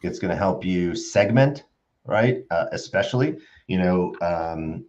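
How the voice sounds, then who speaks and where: A man's voice: deep voice, lively intonation, a little slow, darkish tone. A middle-aged man co-hosting a business live stream, explaining conversationally with somewhat hesitant phrasing. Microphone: video call. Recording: slightly dull video-call audio.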